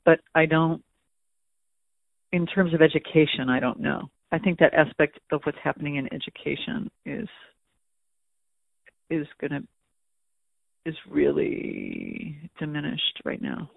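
A man with a slightly high, gravelly voice works through his thoughts aloud, pausing and restarting often. The sound is dry and tinny, like a phone line. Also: no room sound. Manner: thoughtful, hesitant, many restarts and fillers. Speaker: museum education staff. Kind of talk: conversational interview answer.

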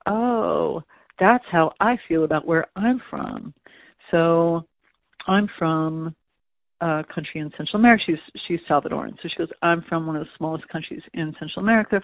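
A man's voice: a little high, no room sound. A man talking through an interview answer thoughtfully, with frequent restarts and fillers, as an educator. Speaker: museum education staff. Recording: tinny phone-like audio.

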